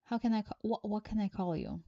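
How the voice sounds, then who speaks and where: A woman from Brazil with a Brazilian Portuguese accent, speaking English as a second language as she reads tarot on a live stream. She reads viewers' questions aloud and answers them in an unhurried, halting way. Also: mid-range voice, dark tone, no echo, lo-fi sound.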